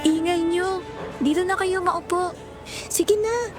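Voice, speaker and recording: Actress as young Filipina coworker, high voice, chatty, sassy, audio drama, loud music behind. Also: female voice, slow. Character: chatty, pert young female office friend.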